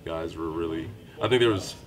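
A young man answering questions at a postgame press interview, speaking in a low-key, measured way with frequent fillers. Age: young adult. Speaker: college basketball player. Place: small indoor room by a wall. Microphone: handheld reporter mics held close.

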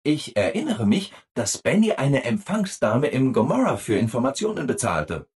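An obliging video game robot with boundless, guileless cheer. His voice sits at a middling male pitch and is very husky and rough. The delivery is overeager and singsong, swinging widely up and down as he offers his help. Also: big pitch swings, moderate pace, a little mellow, heavily acted. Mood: smug, upbeat. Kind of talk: scripted video game dialogue.

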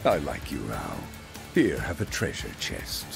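An actor playing a towering, stern demon king in a video game, deep and gravelly, slow and commanding. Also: huge pitch swings, exaggerated voice. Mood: imperious approval. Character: towering demon king, stern, imperious, grudgingly fair father. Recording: music behind the voice.

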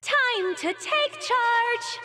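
An actress playing a rallying female game champion with drawn-out, rousing calls. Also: very high-pitched, lively intonation, music in the background, full character voice. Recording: crowd noise behind.

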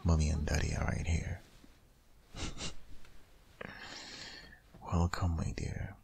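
A man playing a devoted, anxious but reassuring vet boyfriend in an intimate ASMR audio roleplay, whispering breathily with hushed, coaxing urgency. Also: very deep voice, flat delivery, normal speed, vocal fry, slight background noise, exaggerated voice. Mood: relieved, tender.

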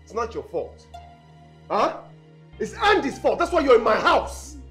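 An actor with a Nigerian accent playing a hot-tempered, domineering young house owner in a film drama, scornful and haranguing. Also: male voice, fairly high pitch, very animated, normal speed, faint music behind. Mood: furious.

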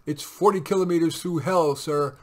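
A man's voice: fairly high pitch, normal speed, close to an everyday voice. A man voicing an anxious, deferential army sergeant in a story reading. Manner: deferential, urgent.

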